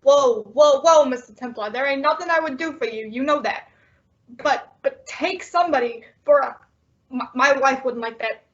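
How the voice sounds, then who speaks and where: A woman's voice: high voice, moderate pace, a bit bright. A woman playing a nervous, eager male cab driver with stammering, slangy talk in an old-time radio drama. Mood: alarmed. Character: eager, nervous, slangy male New York-style cabbie. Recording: dull lo-fi audio.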